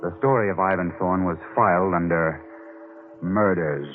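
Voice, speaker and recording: An actor playing a haunted, obsessive newspaper reporter in an old-time radio mystery, brooding, halting and intense in his delivery. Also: low voice, close to an everyday voice. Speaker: narrator and lead character. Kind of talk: old-time radio mystery acting, dramatic first-person narration. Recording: muffled vintage radio recording with music.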